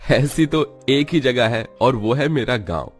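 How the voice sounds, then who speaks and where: A man's voice: medium pitch, expressive, takes it slowly, husky, pitched above the performer's own voice. A man voicing an easygoing young husband in a cartoon story. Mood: cheerful, explaining.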